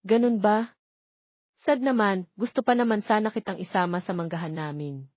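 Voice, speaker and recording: A woman's voice: medium pitch, normal speed, no echo, muffled recording, close to an everyday voice. A woman voicing a friendly, easygoing young female classmate in a romance story, casual and inviting.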